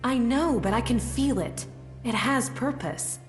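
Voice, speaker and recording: An actress playing an earnest, thoughtful mage uneasy about her powers in a video game, speaking in a measured way. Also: on the high side, lightly acted. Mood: awed. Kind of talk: video game cutscene acting. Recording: echoey reverberant space, music behind.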